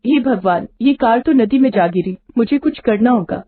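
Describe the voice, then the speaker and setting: A woman's voice: fairly high pitch, expressive, moderate pace, phone-quality sound. A woman voicing a kind, tearful five-headed young village woman, earnest and pleading, in a storybook tale. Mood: alarmed.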